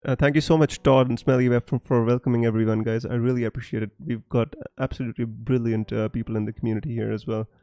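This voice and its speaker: A man's voice: mid-range voice, fairly even intonation, fast talker, few pauses, warm tone, dry recording. A young man from Punjab with a mixed, hard-to-place accent, chatting casually on a live stream, reading comments with many fillers and restarts. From Punjab, India. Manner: chatty, frequent fillers and restarts, laughs often. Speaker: fantasy football streamer.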